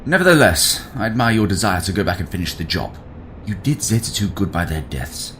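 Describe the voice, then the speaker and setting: An actor playing a stern but fair military starship captain in a sci-fi audio drama, with a clipped, authoritative delivery. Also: male voice, sits low, very animated, a little fast, fairly bright tone, a little hiss or hum. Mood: measured approval.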